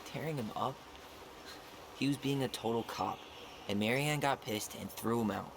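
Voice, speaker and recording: A man's voice: mid-range voice, moderate pace, close to an everyday voice. An actor playing a blunt, sardonic young man in a naturalistic video game. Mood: insistent. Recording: clear background noise.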